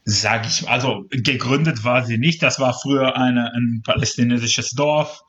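A man's voice: mid-range voice, a little slow, fairly bright tone. Man talking casually, slightly dull sound. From Israel. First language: Hebrew. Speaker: interview guest, journalist. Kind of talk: casual personal conversation.

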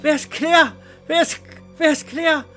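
A man's voice: very high-pitched, steady tone, measured pace, bright, forceful tone, faint music behind, voice pushed up, full character voice. A man playing a timid, boring accountant in an audio drama, stammering, pleading and crying out in helpless panic. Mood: pleading, terrified.

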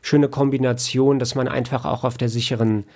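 A man's voice: mid-range voice, level tone, brisk, vocal fry, darkish tone. A man talking casually as an interview guest, explaining his audio engineering work in a rambling, colloquial way and often rephrasing himself.

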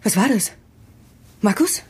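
An actress playing a frightened, anxious young wife in a naturalistic audio drama. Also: female voice, medium pitch, animated, measured pace, a little mellow, slight background noise, natural voice. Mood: startled, worried.

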